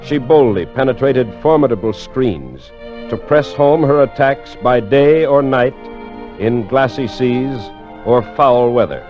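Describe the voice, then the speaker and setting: A mid-pitched, stately voice of a male actor plays a solemn navy official declaiming formally in old-time radio style. The recording is dull and lo-fi. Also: measured pace, soft background music, natural voice. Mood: solemn, proud.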